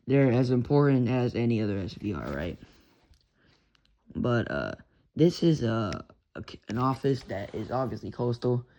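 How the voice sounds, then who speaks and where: A man with a Texan accent, a radio hobbyist rambling casually and cursing now and then as he talks about his radios at home. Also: mid-range voice. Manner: rambling, profane, self-interrupting. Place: bedroom at home. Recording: lo-fi noisy home recording.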